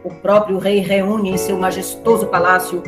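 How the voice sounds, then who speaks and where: A woman narrates an epic in dramatic, scripted storytelling style. Her mid-pitched voice has a roomy echo, with clear music behind it. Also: little pitch movement, normal speed.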